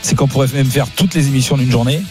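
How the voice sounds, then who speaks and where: A man's voice: mid-range voice, fairly even intonation, quick pace, dark tone, faint music behind. A man co-hosting an evening radio show, teasing guests and joking with his partner. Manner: teasing, playful, mock-solemn asides. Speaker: radio co-host.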